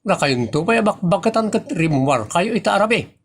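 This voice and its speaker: Husky, blustering Ilocano man, acted by a man. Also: sits high, sing-song, normal speed, exaggerated voice. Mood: stubborn. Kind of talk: comedy audio drama acting.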